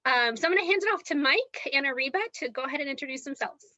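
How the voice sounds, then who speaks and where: A woman's voice: sits high, expressive, quick pace, bright, forceful tone, dry recording. A young woman from Los Angeles, moderating a casual online student panel in a friendly, upbeat way.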